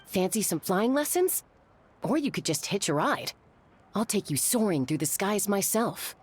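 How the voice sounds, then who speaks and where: A woman's voice: mid-range voice, sing-song, normal speed, background noise, close to an everyday voice. A woman voicing a confident, breezy young adventurer in a video game, with an assured, friendly manner. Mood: playful, inviting. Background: music.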